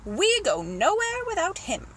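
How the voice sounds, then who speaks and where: Woman doing a polite plain-spoken giraffe for a kids' story, high voice, bit of noise. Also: wildly swooping pitch, measured pace, a bit bright, exaggerated voice. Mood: polite, assured. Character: polite, matter-of-fact giraffe. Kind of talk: children's storybook character voice.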